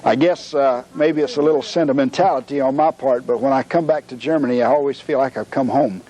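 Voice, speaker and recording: An older man with an American accent in casual, halting talk to the camera and his hosts, often repeating words. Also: medium pitch, warm tone, poor audio. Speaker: visiting tourist. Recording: chattering room, home video. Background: people chattering.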